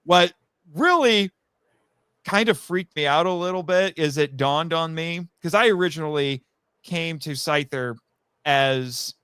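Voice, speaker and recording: A man chatting casually as a guest on a live podcast, telling stories in a rambling, animated way and acting out quoted remarks. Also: on the high side, smooth, no room sound, clean studio sound. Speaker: guest, audio drama writer.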